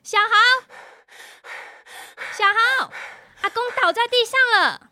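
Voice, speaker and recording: A woman with a Taiwanese accent, acting a busy, caring mother in a radio drama, expressive and brisk. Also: very high voice, no echo, clean studio sound, exaggerated voice. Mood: alarmed, urgent.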